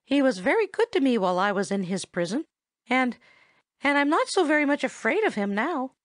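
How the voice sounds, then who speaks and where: A woman's voice: sits high, sing-song, a little fast, dry recording, studio quality, pitched above the performer's own voice. A woman voicing a wary, doubtful male red squirrel who scolds from habit, in a storybook reading.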